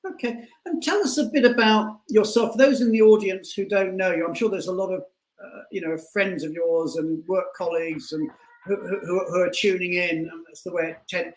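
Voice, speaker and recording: A man hosting a casual online interview, halting and often repeating words mid-sentence as he frames his questions. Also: high-pitched. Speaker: show host, interviewer. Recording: echoey room on online call.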